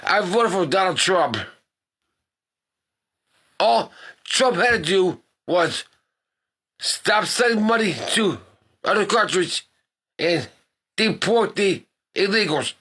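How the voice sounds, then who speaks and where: A man rants in a high, raspy voice with a sharp, forceful edge. He takes his time, leaning on each point and repeating it with heavy swearing. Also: animated, slow, long pauses. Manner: forceful, emphatic, repetitive. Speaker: disgruntled voter. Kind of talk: profane personal rant.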